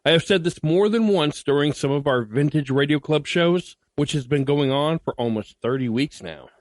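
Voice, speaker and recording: A man's voice: a little high, moderate pace, dry recording. A man introducing his podcast in a scripted host's delivery. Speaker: podcast host.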